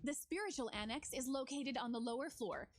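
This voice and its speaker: A woman's voice: high voice, fairly quick. A woman giving scripted promotional narration as a game developer presenting new features. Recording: music under the voice. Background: music.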